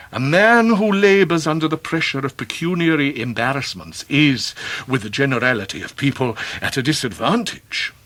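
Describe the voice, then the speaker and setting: An actor playing a pompous middle-aged Victorian clerk with flowery, grandiloquent English speech, in a radio drama. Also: male voice, mid-range voice, a bit bright, husky, dry recording, heavily acted. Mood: dignified, confiding. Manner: grandiloquent, theatrical, orotund.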